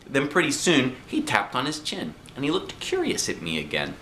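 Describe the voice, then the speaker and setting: A man reading a children's story aloud in an expressive, playful, animated manner. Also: mid-range voice, normal speed, reverberant, slight background noise, rough recording. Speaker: storybook reader.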